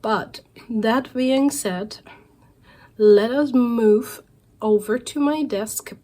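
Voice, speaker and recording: A woman in her forties, recovering from a cold so her voice sounds a little off, chatting in a relaxed, rambling way with fillers and self-corrections as a cross-stitch vlogger. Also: a little high, extremely slow. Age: 40s. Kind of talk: casual chatty vlog monologue.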